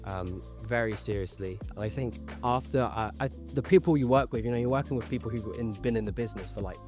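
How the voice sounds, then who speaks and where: A young actor chatting on a podcast, candid and quick to poke fun at himself. His voice sits at an ordinary middle pitch for a man, with faint music behind him. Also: a little mellow. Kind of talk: casual podcast chat.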